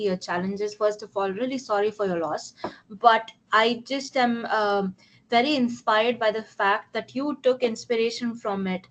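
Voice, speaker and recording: A woman from eastern India with an Indian accent, hosting an interview in a conversational style. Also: on the high side. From Ranchi, India. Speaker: interviewer, host. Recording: dull, lo-fi audio.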